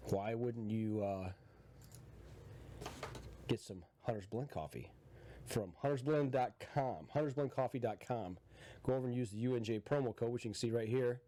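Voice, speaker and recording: A man's voice: lowish voice, mellow. A male podcast host with a congested head cold, chatting casually and laughing often. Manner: laid-back, laughs often. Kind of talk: casual live podcast chat. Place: cabin.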